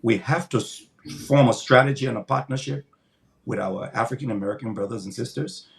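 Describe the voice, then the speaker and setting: A man's voice: mid-range voice, fairly even intonation, a little fast, gravelly. An older man, a pastor giving earnest, emphatic interview answers with a preaching cadence, often repeating words as he builds his points.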